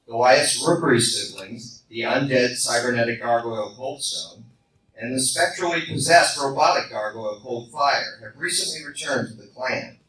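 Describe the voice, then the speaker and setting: A man's voice: mid-range voice, moderate pace, fairly bright tone. A man narrating and announcing a live radio play at a convention, recorded from the audience. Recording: very echoey, distant fan recording, noisy.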